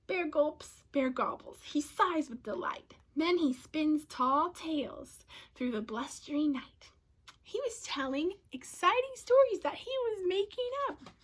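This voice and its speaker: A woman reading a storybook aloud to children, expressively, often dropping to a hush and addressing her listeners. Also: sits high, expressive, measured pace. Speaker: storybook reader. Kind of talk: children's read-aloud narration.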